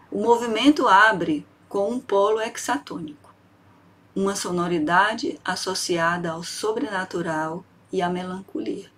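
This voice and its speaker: A woman with a Brazilian Portuguese accent, a piano professor reading an academic lecture in a measured, precise way. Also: mid-range voice, a bit bright, husky.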